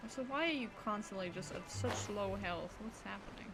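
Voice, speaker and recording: A woman's voice: medium pitch, moderate pace, gravelly. A woman chatting casually on a live stream while she plays a game, musing and often trailing off mid-thought. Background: game music and sound effects.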